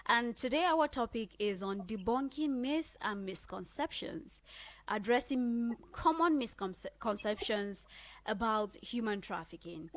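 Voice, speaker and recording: A woman's voice: a little high, expressive, a little slow, no echo. Nigerian woman hosting radio, dry close sound. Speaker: radio host. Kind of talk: radio talk show hosting. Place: radio studio.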